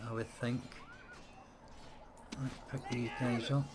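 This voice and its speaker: A man's voice: mid-range voice, flat delivery, slow pace, background noise. A man casually commentating while playing a strategy game, chatty and muttering to himself, on a close desk mic. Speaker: let's play gamer. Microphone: desk mic set close.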